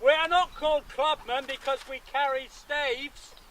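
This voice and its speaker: A man playing a defiant seventeenth-century country lawyer, declaiming deliberately to rally his armed neighbours outdoors in a historical reenactment. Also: very high voice, steady tone, fairly bright tone. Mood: defiant, rousing. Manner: declamatory, deliberate, with emphatic pauses. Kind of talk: reenacted historical public speech. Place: outdoors at a rural gathering. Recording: outdoor recording with background noise.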